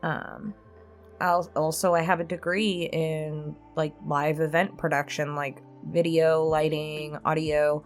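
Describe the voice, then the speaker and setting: A woman with a fairly low voice hosts a casual chat for her audience. She talks informally and feels her way through her phrasing, with music faintly behind her. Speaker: host, roleplay ASMR voice actor. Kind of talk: casual chat to viewers in a pre-recorded Q&A.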